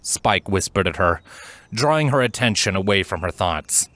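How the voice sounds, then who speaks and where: A man with a fairly low voice reads as a storyteller. His delivery is measured and articulate. He narrates scripted prose with expression. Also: animated, a bit bright, no room sound. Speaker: fan fiction narrator and voice actor.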